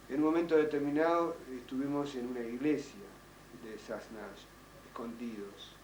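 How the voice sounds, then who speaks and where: An older man whose first language is French, giving halting oral history testimony with many restarts and fillers. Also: on the high side, a little mellow. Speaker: interviewee, child survivor. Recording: roomy, distant, faint noise.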